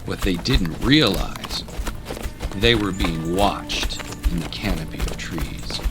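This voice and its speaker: Male narrator, deep voice, slow measured pace, dramatic scripted storytelling, music behind. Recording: music under the voice.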